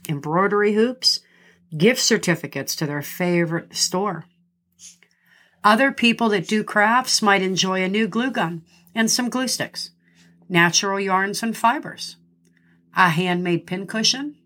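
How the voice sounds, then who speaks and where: A middle-aged woman hosting her podcast, a little nasal and congested from a cold, talking in a friendly way and reading out lists with pauses between the items. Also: fairly low pitch, a bit bright. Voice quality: slightly nasal. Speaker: podcast host. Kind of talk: scripted podcast monologue.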